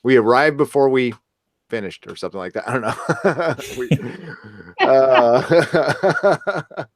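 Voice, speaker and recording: A man hosting a podcast in casual chat, with a halting, stammering, jokey delivery. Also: medium pitch. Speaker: podcast host.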